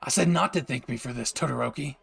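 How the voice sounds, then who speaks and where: A man acts out a brash young male anime hero with a gravelly, rough edge to his voice. He barks, swears and teases cockily, with a doting streak underneath. There is clear ambient noise behind him. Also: mid-range voice, fast talker, a bit bright. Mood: cocky, teasing. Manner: abrasive, swearing, barking, teasing. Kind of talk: anime character audio roleplay.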